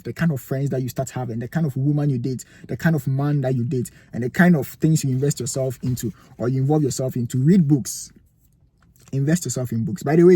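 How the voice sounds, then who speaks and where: A man's voice: mid-range voice, mellow, husky. A young man with a Ghanaian accent giving emphatic motivational advice to camera, asking rhetorical questions, indoors in a cold room. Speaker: vlogger giving life advice.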